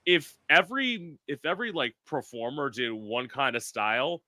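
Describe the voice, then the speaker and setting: A man's voice: medium pitch, animated, moderate pace, bright, forceful tone, no echo. A man from South Florida hosting a podcast in casual chat, talking with lots of filler words. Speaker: podcast host.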